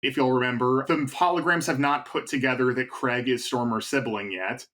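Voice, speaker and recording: A man's voice: medium pitch, steady tone, moderate pace, a bit bright. A man co-hosting a podcast who walks through a game's plot step by step in casual chat. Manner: narrates plot points step by step. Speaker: podcast co-host. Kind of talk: casual chat recapping a game story.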